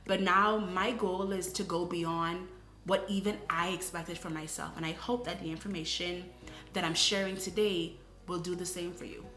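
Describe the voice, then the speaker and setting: A woman's voice: mid-range voice, fairly bright tone, echoey room. A woman, earnestly telling her personal story in a casual vlog and often tossing in "right?". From Bahamas. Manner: reflective, earnest, frequent "right?" asides. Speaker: vlogger.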